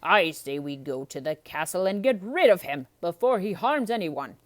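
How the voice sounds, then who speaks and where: A woman voicing a brash, aggressive male storybook villain, loud, commanding and taunting. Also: fairly low pitch, expressive, moderate pace, no room sound, natural voice. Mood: rabble-rousing.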